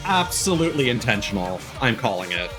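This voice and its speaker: A man's voice: medium pitch, very animated, fairly quick. A man doing casual, informal live commentary as a video game streamer. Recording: music playing behind the voice. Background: video game music.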